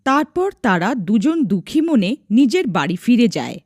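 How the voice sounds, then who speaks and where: Woman narrator, high pitch, animated intonation, scripted dramatic storytelling, dry sound. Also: a little slow, no room sound.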